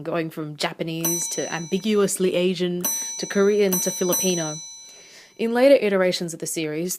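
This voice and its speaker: A woman's voice: fairly low pitch, a little mellow, a little hiss or hum. A young woman presenting a scripted analysis as a student narrator. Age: young adult. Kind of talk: scripted video essay narration.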